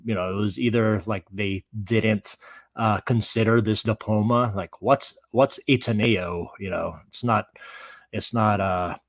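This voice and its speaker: A man's voice: lowish voice, dry recording. A middle-aged man with an American accent, an interview guest telling his story in a casual, rambling, wry way. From San Francisco Bay Area, USA.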